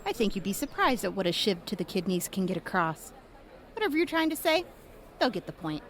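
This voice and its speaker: A woman's voice: medium pitch, big pitch swings, fairly quick, some chatter behind. A woman playing a blunt, sardonic wasteland medic in an audio drama, with an irreverent manner.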